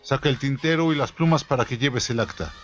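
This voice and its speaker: A man's voice: mid-range voice, brisk, faint music behind, natural voice. A man playing a formal, commanding rebel priest. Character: authoritative rebel priest commander addressing his men.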